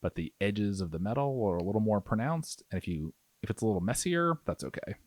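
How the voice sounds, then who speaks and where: Low-voiced man giving a casual tutorial, lively intonation. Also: a little fast, dry recording. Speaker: miniature painting instructor.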